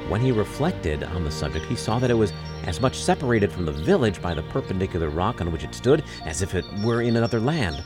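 A man narrating a scripted fairy tale as a storyteller. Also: deep voice.

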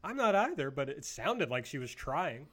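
A man's voice: on the high side, very animated, moderate pace, a bit bright. A man hosting a casual panel show, recapping and giving blunt opinions. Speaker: host of a wrestling review show.

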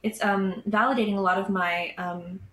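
A woman's voice: medium pitch, steady tone, normal speed, fairly bright tone. A woman guest in a casual chat, talking enthusiastically and rambling, with lots of fillers. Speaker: podcast guest. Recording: echoey room with faint background noise.

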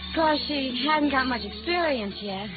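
A young hat-check girl, high-pitched and very lively in intonation. She is a breezy, chatty woman of old radio drama, heard on a thin recording over loud music. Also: normal speed, narrow, tinny audio. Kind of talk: 1950s radio drama acting.

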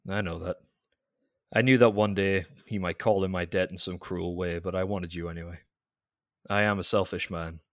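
Honour-bound officer in love. Low, dry, formal and restrained, brooding audio drama delivery. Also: male voice, fairly even intonation, moderate pace, no room sound. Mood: earnest, tender.

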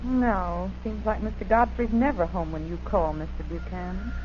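An actress playing a chatty, trusting farm wife with homespun rural speech in a 1940s radio drama. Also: female voice, mid-range voice, normal speed, darkish tone, natural voice. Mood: neighbourly. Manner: folksy rural idiom. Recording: dull, noisy 1940s radio recording.

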